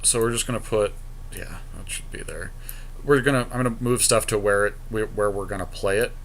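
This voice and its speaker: A man co-hosting a podcast, chatting casually and informally as he deliberates aloud over a card game draft. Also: on the low side, slight background noise. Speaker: podcast co-host.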